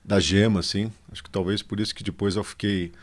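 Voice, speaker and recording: A middle-aged man, a company founder telling his story in a relaxed interview, with lots of fillers and drawn-out pauses. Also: fairly low pitch. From São Paulo, Brazil. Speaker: guest, advertising agency founder. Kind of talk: casual conversational interview.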